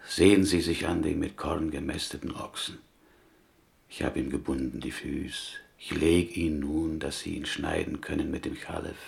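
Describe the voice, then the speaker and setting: A pious small-town prisoner from Galicia in a radio drama. His voice is low and gravelly, and he shapes his inverted sentences deliberately, with a gentle, wry plaintiveness. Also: little pitch movement, heavily acted. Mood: solemn, yearning. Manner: deliberate, melodic phrasing with inverted word order. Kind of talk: German radio play acting.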